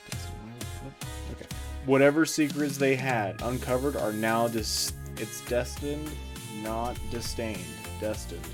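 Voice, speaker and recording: A man speaking as a podcast host, informal and plain-spoken. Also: mid-range voice. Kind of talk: informal podcast announcements and outtakes.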